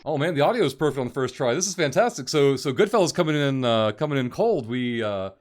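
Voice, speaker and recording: A man's voice: medium pitch, expressive, quick pace, slight background noise. A man hosting a live-streamed interview, asking loose, rambling questions with enthusiasm. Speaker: host.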